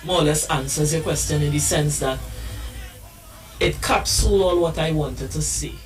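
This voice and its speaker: A man's voice: a little high, normal speed, far from the mic. A man, a musician, explaining his music earnestly in a live-stream interview, with frequent restarts. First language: English. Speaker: guest musician.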